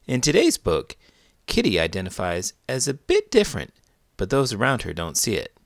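A man narrating a children's story as read-aloud host, expressive and deliberate. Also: mid-range voice, no room sound, clean studio sound.

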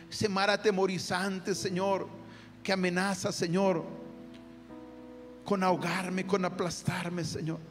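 A man leading a fervent spoken prayer, calling out to the Lord after nearly every phrase. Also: high-pitched. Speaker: prayer leader. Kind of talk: spoken prayer over music. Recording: long hall reverb with guitar music. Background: soft guitar music.

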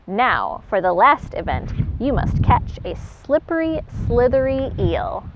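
A woman voicing a wise old pelican who calmly judges a contest, speaking in a measured, announcing way. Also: fairly high pitch, background noise, voice pushed up. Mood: judging. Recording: dull lo-fi recording.

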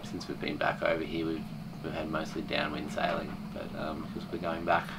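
A man's voice: extremely low pitch, a little fast, creaky. A man giving a casual, unscripted sailing video diary. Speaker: sailing vlogger. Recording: distant mic, steady background hum.

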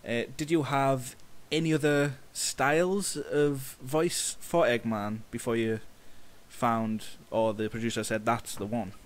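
Slow, halting male podcast host. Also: mid-range voice, little pitch movement. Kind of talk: casual interview questions.

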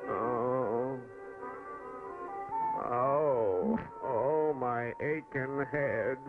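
A man's voice: mid-range voice, extremely slow. A man voicing a battered, gloomy navy airplane in a 1940s cartoon, in a moaning, doleful way. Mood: groggy. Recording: tinny 1940s film soundtrack, faint music.

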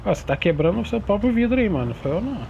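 A man's voice: high voice, big pitch swings, racing, gravelly, a little mellow, highs cut off, a little hiss or hum. A man from Brazil streaming a roleplay game, chatting in laid-back slang and talking to his viewers. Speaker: gaming streamer. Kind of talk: roleplay gameplay chat.

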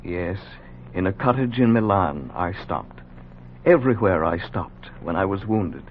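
A man's voice: deep voice, lively intonation, a little slow, a little hiss or hum. An actor playing a solemn, dramatic narrator telling his own story in a 1940s radio drama. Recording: muffled, humming 1940s radio recording.

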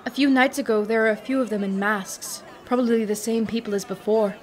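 A woman playing a gentle, modest elven market trader in an audio drama. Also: on the high side, steady tone, a little fast, natural voice. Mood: guarded, troubled. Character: gentle young elven woman trader, friendly but guarded.

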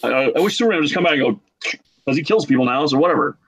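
Higher-pitched man joking on a video call. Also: racing. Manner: jokey, animated storytelling with mimicked quotes. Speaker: podcast guest. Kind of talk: casual group chat. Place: small room at home, video call.

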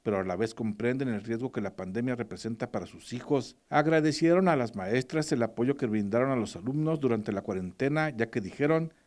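Guy doing a news voiceover, steady formal read, mid pitch. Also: brisk, hardly any pauses. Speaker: news reporter. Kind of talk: scripted news voice-over.

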